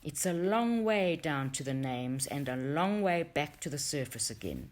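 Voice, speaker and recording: A woman reading a book aloud as narrator, with expressive, deliberate emphasis. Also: sits low. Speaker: audiobook reader for a study group.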